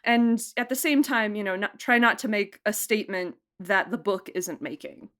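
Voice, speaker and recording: A woman producer and director chatting casually in an interview, talking in an informal, emphatic and animated way. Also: mid-range voice, moderate pace.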